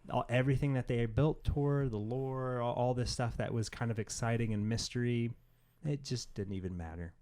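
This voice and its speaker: Guy just chatting on a podcast, mid pitch, rambles and says like a lot. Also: fairly even intonation, moderate pace, dark tone. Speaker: podcast co-host. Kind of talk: casual chat about games and pop culture.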